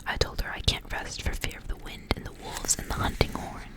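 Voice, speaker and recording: Whispering woman reading a story as ASMR. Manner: soft unvoiced whisper, hushed and deliberate, phrase by phrase.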